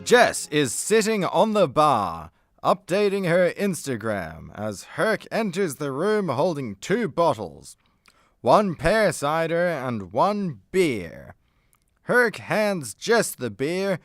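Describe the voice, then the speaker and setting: A man's voice: fairly high pitch, sing-song, takes it slowly, no room sound, studio quality, faint music behind. A man reading scripted narration and stage directions as narrator of a radio play.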